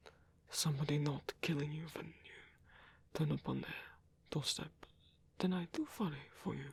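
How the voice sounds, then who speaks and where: A man voicing a lonely, gentle old vampire in an ASMR roleplay, with a husky, breathy whisper and halting, formal, musing speech. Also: a little high, no room sound, exaggerated voice. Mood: wry, gentle. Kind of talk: ASMR roleplay audio drama.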